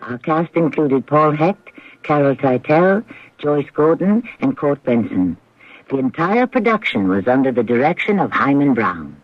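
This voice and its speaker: A man narrating as a radio host, measured and rhetorical, in dramatic scripted style. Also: medium pitch, very animated. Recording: dull lo-fi old radio broadcast. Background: music.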